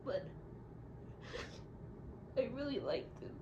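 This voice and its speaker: A young woman records a personal audio diary in a fairly high voice. She speaks haltingly and reflectively, searching for her words. The sound is dull and clearly echoey. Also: big pitch swings. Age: young adult. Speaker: audio diarist. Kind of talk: confessional audio diary monologue. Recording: muffled, echoey room with loud noise.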